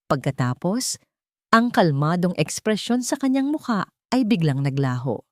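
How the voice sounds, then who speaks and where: A woman's voice: medium pitch, a little mellow, no echo, clean studio sound. A woman narrating a scripted drama story in a suspenseful, emotive manner.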